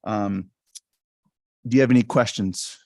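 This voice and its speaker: A soft-spoken man presenting school fees at a public board meeting, talking into a stand microphone with many ums and restarts. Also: fairly low pitch, a little slow, no room sound. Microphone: stand microphone at a meeting table.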